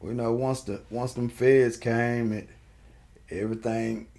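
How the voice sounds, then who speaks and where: A middle-aged man with a gravelly, creaky voice at a medium pitch. He reminisces in a casual, slangy way, like a guest in an informal interview. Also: fairly even intonation, measured pace, darkish tone.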